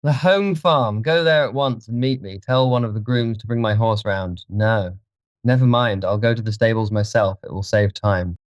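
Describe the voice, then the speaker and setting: A man voicing an anxious, imperious young gentleman with an intense manner, for an audiobook. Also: fairly low pitch, animated, normal speed, dry recording, muffled recording, voice pushed up, lightly acted. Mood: commanding. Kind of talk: audiobook character voice.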